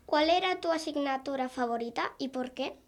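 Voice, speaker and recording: A woman's voice: high-pitched, normal speed. A woman asking simple prepared questions as an interviewer.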